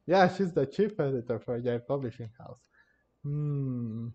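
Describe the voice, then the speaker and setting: A man giving casual gameplay commentary as a video host, halting and searching for his words. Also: medium pitch, animated, dark tone. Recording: dull lo-fi mic, game music behind. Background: game music.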